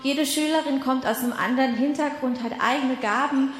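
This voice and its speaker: A woman's voice: high voice, a little fast. Nineteen-year-old woman speaking in a hall. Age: late teens. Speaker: Bible school student. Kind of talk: prepared presentation. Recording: echoey hall.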